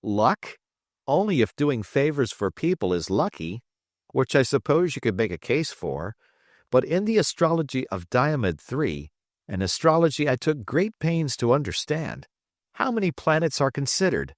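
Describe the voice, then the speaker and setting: Man voicing a jaunty, boastful space trader, pitched high. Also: sing-song, moderate pace, no room sound, studio quality, close to an everyday voice. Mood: gleeful lecturing. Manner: grandiose, lecturing, teasing. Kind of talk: audiobook character dialogue.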